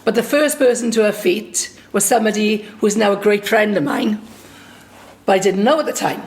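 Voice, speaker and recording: A woman from Swansea with a Welsh accent, a singer and songwriter recounting a personal story in a lively, anecdotal way. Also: medium pitch, normal speed. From Swansea, Wales. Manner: lively anecdotal, acts out quoted dialogue.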